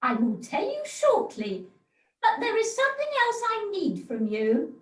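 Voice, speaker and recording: A commanding, crafty pantomime bargainer, acted by a woman, speaks with theatrical firmness. The pitch swings widely and the home video-call sound is echoey and set back from the mic. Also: huge pitch swings, a little slow, heavily acted. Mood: sly, firm. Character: bossy, bargaining pantomime fixer, firm and sly. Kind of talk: amateur pantomime acting. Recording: roomy, distant home video-call recording.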